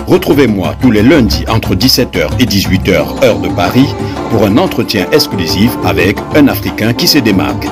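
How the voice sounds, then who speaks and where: A man's voice: on the low side, big pitch swings, normal speed, hardly any pauses, loud music behind the voice. A man presenting a scripted, formal radio promo for his program. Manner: announcing, formal.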